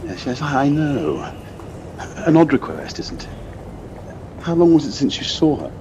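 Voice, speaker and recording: A man playing a concerned, reasonable male companion in an audio play, speaking in a considerate, gently questioning way. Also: mid-range voice, sing-song, normal speed, lightly acted. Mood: musing. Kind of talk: audio play acting, naturalistic dialogue. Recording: dull lo-fi device recording, faint noise.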